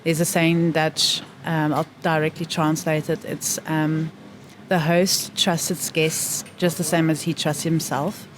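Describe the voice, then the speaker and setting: A woman speaks in a lowish voice as an interview guest, measured and reflective. She stops often to search for words. A faint hiss of noise sits under the recording. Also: little pitch movement. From South Africa. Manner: thoughtful, pauses to find words. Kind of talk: reflective conversation.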